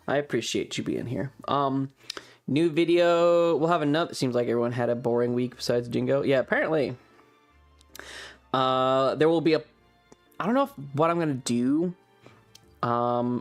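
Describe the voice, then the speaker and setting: A streamer chatting casually and informally to a live audience, rambling and thinking aloud. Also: mid-range voice. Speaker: streamer and ASMR video creator hosting a livestream. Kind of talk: casual livestream chat.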